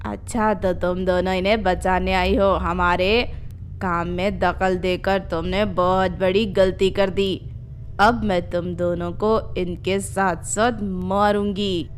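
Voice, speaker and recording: Woman voicing an angry low-pitched menacing witch. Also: flat delivery, takes it slowly, slight background noise. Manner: threatening, scolding.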